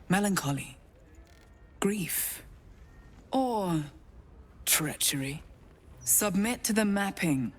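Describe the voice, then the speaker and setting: Stern cult gatekeeper for a fantasy game: low, slow, hushed and ominously formal. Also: female voice, slightly rough. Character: stern, solemn female gatekeeper of a shadowy cult. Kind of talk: dramatic video game acting.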